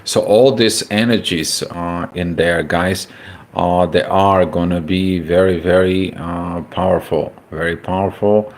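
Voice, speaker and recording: A man from Bulgaria with a Bulgarian accent, hosting a casual astrology livestream, speaking haltingly with many filler sounds and greeting viewers. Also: sits low, steady tone.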